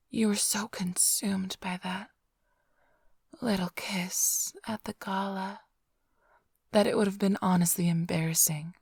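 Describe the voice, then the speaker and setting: A woman's voice: on the low side, a little mellow, no room sound, full character voice. A woman playing a flirtatious, smug female vampire thief in a whispered, teasing ASMR roleplay, drawling close to the microphone. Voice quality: breathy, whispery. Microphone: close-up studio microphone, dry.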